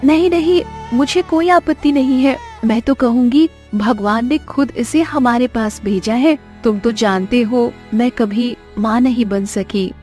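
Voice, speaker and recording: A woman's voice: on the high side, unhurried, hardly any pauses, a little mellow, soft background music, lightly acted. A woman voicing a fretful but loving fisherman's wife in an exclamatory Hindi cartoon style. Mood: tender.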